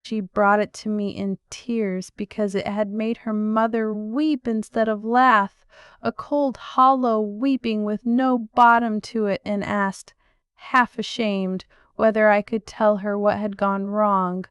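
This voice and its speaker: Woman narrator, smooth clear voice, dry close sound, measured reflective storytelling. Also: mid-range voice, fairly even intonation, no room sound. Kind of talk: first-person storybook narration.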